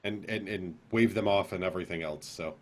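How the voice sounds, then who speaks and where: A man's voice: deep voice, a little fast. A man co-hosting a podcast, casually bantering on a live stream with easygoing, brief interjections.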